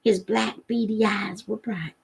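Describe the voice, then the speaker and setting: A woman's voice: medium pitch, steady tone, a little slow, gravelly, breathy edge. A woman narrating a storybook in a live read-aloud, as the host of a read-along.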